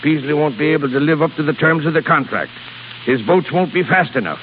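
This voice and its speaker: Casting: male actor as blustery shipping magnate in his sixties, salty and hot-headed, lively higher pitch, old crackly radio play. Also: fairly quick, natural voice. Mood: scheming. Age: 60s. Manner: blustering, sputtering, salty seafaring insults. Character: blustering, crusty old shipping boss, quick to anger. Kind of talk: 1930s radio acting. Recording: crackly, tinny 1930s radio broadcast.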